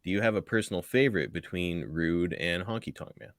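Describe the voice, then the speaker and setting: A man's voice: low voice, fairly quick, no echo, studio quality. A man co-hosting a podcast in casual chat, reading out listener questions and laughing easily.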